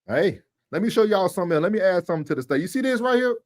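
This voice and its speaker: A man co-hosting a livestream, giving casual, animated commentary and addressing his viewers directly. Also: high voice, rapid-fire. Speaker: livestream co-host. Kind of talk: casual talk commentary on church.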